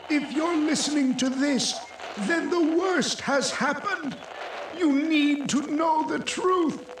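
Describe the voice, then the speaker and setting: A man playing a grave old scientist in a video game, slow, solemn and earnest as he pleads. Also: extremely high pitch. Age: older. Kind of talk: video game cutscene acting. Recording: echoey, noisy playback of a message.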